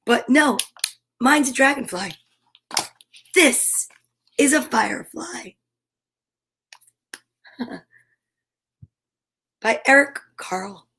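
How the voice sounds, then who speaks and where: A woman's voice: sits high, expressive, very slow, pauses often, bright, forceful tone. A woman hosting a children's storytime, reading a picture book aloud expressively with chatty asides. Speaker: storyteller and host.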